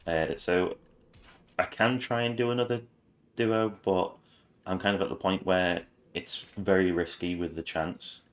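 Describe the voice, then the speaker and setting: A very low-pitched man talks through a game guide. His voice is raspy this morning, and he rambles with frequent ums. His delivery is casual and unhurried. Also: steady tone. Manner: rambling, many ums and restarts. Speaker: gaming channel host.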